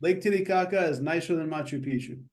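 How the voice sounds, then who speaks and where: A man's voice: a little high, fast talker, darkish tone, slight background noise. A man with a New York accent introducing himself as a speaking coach on a video call, with wry jokes. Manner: wry, joking. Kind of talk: self-introduction.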